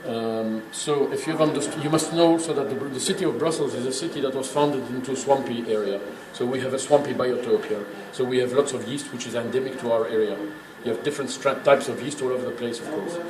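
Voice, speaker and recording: A man guides a tour with an emphatic, didactic delivery. He explains informally but with firm opinions. The sound is roomy and echoing, with clear background noise. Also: medium pitch, fairly quick, hardly any pauses. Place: indoors in a working brewery.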